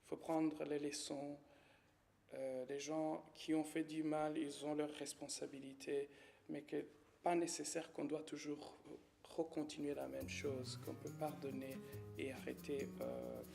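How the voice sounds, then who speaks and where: A man talks in a halting, hesitant way, often pausing on fillers. His voice sits somewhat high for a man and has a gravelly fry. Faint music plays behind him. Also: little pitch movement, mellow. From Rwanda. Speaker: witness. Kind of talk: personal testimony.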